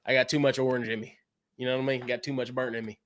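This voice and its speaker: A man's voice: medium pitch, little pitch movement, rapid-fire, fairly bright tone. A man from East Texas with an East Texas accent, co-hosting a casual sports talk stream in an earnest, easygoing way.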